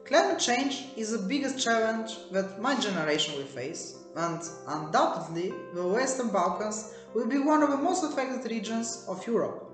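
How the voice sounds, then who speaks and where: A young woman from Albania, giving a steady prepared statement point by point. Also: medium pitch. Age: young adult. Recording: roomy echo with faint music.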